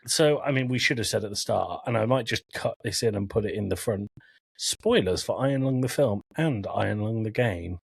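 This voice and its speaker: A man's voice: on the low side, lively intonation, brisk, gravelly, slightly rough, no echo, clean studio sound. A man hosting an informal podcast about films and games, talking with jokey asides and some swearing. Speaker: podcast host. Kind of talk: informal film and game discussion, recapping from notes.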